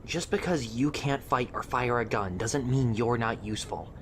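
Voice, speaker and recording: A man playing an earnest, protective teenage boy in an audio drama, speaking with gentle reassurance. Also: medium pitch, moderate pace, slight background noise, close to an everyday voice. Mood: reassuring.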